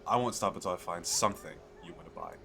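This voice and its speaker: A low, deep male fantasy shopkeeper, played by a man, talks straight to the listener in an ASMR roleplay. He is an eager, chatty salesman who coaxes and drops in hesitant asides. The recording has clear background noise. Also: huge pitch swings, fast, heavily acted. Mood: coaxing, reluctant. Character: eager, chatty male fantasy merchant selling magical crystal castles.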